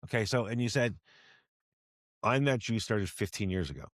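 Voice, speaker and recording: A man's voice: lowish voice, lively intonation, normal speed, dry recording, clean studio sound. A man hosting an interview podcast, asking long, rambling questions with many repeated words and restarts. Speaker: podcast host.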